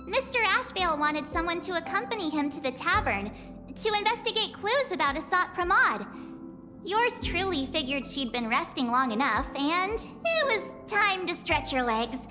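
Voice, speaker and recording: Game role: perky, eager girl, extremely high voice, swooping intonation, long reverb. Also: very animated, moderate pace, music in the background. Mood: upbeat, eager. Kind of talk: video game voice acting.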